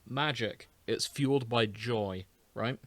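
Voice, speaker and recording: A man's voice: mid-range voice, slow pace, a bit bright. A man hosting a casual chat podcast, explaining a story in a storytelling way. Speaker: podcast host.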